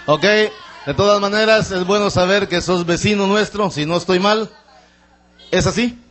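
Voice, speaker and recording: Male host, fairly high voice, courteous, off-mic stage sound. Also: measured pace, fairly bright tone. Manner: polite, formal. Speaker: event host. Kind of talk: stage interview. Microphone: shared handheld mic.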